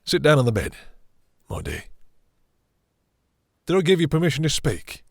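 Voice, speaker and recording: A man playing a possessive, domineering vampire master in an intimate roleplay audio drama, with slow, theatrical, condescending menace and a breathy, seductive purr. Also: fairly high pitch, lively intonation, no echo, studio quality. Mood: commanding, menacing.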